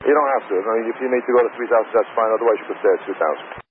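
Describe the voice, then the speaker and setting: A man's voice: fairly low pitch, very fast. A man working as an air traffic controller, issuing vectors and questions over aviation radio. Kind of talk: live radio vectoring instructions. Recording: narrow-band air traffic radio, faint noise.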